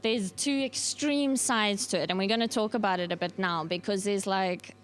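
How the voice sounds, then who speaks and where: A woman's voice: medium pitch, moderate pace. A woman giving a church workshop talk, speaking casually and checking in with the listeners as she goes. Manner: casual, often checks in with the audience. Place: church workshop room with a live audience.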